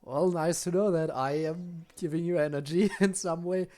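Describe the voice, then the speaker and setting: A woman's voice: on the low side, fairly even intonation, moderate pace, mellow. A woman giving casual, wry commentary as she plays through a game. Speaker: game streamer.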